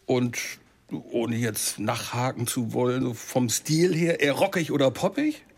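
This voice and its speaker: A man's voice: mid-range voice, measured pace, slightly rough. A man playing a chatty TV show host in a comedy mock-documentary, conversational and probing, with many fillers. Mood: prodding, flustered. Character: chatty male TV show host and football fan.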